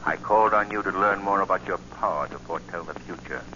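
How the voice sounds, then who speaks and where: Sinister taunting unseen avenger, suave and deliberate, muffled old radio sound. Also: male voice, sits low, bright, forceful tone, a little hiss or hum. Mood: menacing, mocking. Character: invisible male crime-fighter, menacing, mocking and suave. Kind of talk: 1940s radio acting. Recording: muffled 1940 radio recording.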